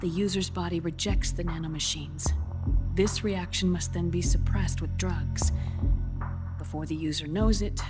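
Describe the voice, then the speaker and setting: A woman playing a grave, compassionate scientist in a dramatic video game, explaining in measured, precise phrases with quiet intensity. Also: on the low side, steady tone, music in the background, natural voice. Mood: grave, explanatory.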